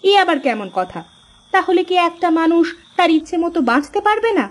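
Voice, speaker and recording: A woman's voice: very high voice, very animated, a little fast. A woman voicing a spirited, plain-spoken village fisherman's wife in a cartoon story. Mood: concerned, defiant. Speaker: cartoon character voice. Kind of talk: Bengali cartoon story dialogue.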